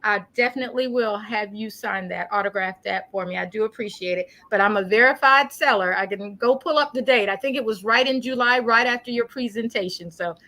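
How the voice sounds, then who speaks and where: A woman's voice: mid-range voice, fairly quick, few pauses, rough recording. A woman hosting a community religious program, speaking informally in an encouraging, courteous way. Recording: steady background noise.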